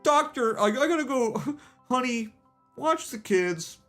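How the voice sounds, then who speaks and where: A man voicing a whiny grown man who fusses over a small cold, in a mocking, high, sing-song way. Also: lively intonation, unhurried, fairly bright tone, faint music behind, heavily acted. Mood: mocking whine.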